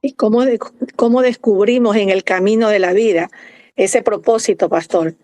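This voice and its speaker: A woman's voice: mid-range voice, moderate pace, dry recording. A woman hosting a faith podcast, formal in tone and asking reflective questions with some hesitation. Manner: formal, warm introductions, hesitant fillers. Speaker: host.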